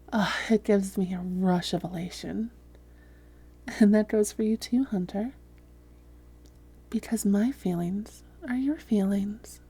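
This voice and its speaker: A woman playing a seductive, domineering vampire posing as a therapist in an intimate roleplay audio, speaking softly and deliberately with frequent whispering. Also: medium pitch. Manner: soft, breathy, often whispering, deliberate. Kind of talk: intimate roleplay audio drama, hypnotic delivery.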